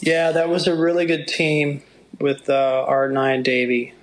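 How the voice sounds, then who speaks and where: A middle-aged man with a low voice, talking through stories in an interview and sometimes quoting others in a livelier tone. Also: completely flat delivery. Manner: unhurried, storytelling, quotes others in a livelier voice. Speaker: motocross broadcaster. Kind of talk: reflective interview talk.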